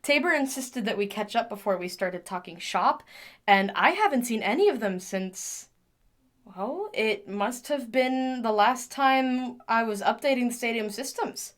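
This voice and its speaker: A woman playing a chatty, gentle mechanic in an audio drama, rambling affectionately to a broken machine. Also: fairly high pitch, lively intonation, unhurried. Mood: fond, chatty. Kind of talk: audio drama monologue, conversational.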